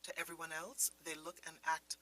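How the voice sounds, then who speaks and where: Female actor as ominous radio-drama narrator revealing secrets, deliberate and forceful, slightly low. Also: little pitch movement. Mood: grave.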